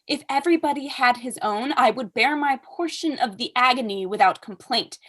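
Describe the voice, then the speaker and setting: A woman's voice: high-pitched, a bit bright. A young woman acting a haughty, witty aristocratic mother in a staged reading, pompous, arch and declamatory. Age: young adult. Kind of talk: staged reading of a period comedy.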